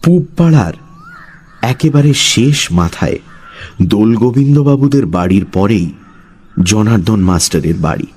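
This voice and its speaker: A male storyteller narrates a scripted tale with drama. He takes his time, in a husky mid-pitched voice that rises and falls expressively. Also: lively intonation, a little slow, long pauses, darkish tone.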